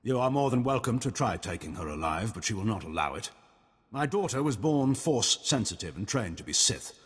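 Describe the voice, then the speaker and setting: Haughty game admiral: middle-aged, slightly rough voice, icy formality, reverberant room. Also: male voice, fairly low pitch, sing-song, fairly quick. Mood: grave. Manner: formal, condescending. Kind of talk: video game voice acting.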